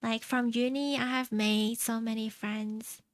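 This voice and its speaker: A young woman from China chatting casually on stream, answering her chat in a soft, rambling way and laughing often. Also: on the high side, flat delivery, measured pace, dry recording. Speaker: VTuber streamer. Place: home streaming setup.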